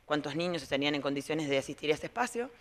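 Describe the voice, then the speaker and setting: A middle-aged Argentine woman speaks as a school director in a spontaneous TV interview. She explains step by step, often halting to rephrase. Her voice is picked up on a handheld reporter's microphone in a large indoor room. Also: low voice, animated.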